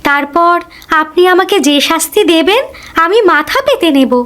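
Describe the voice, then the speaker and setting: A woman's voice: very high voice, lively intonation, moderate pace, fairly bright tone. A woman playing a brave, humble young girl in a fairy-tale cartoon, speaking politely and earnestly. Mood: pleading.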